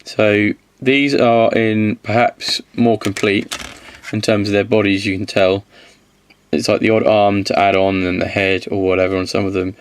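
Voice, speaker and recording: A man with a slight cold-hoarse voice, casually reviewing miniatures, rambling and correcting himself as he goes. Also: on the low side, little pitch movement, normal speed, dry recording. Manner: rambling, self-correcting, counts aloud.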